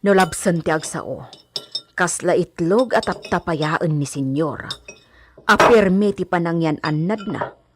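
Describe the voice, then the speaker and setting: A woman's voice: fairly low pitch, a little slow, heavy background noise, close to an everyday voice. A woman playing a frank, fussy older-sister household servant in a radio drama, with a blunt, gossipy way of talking. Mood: gossipy, confiding. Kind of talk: Ilocano radio drama acting.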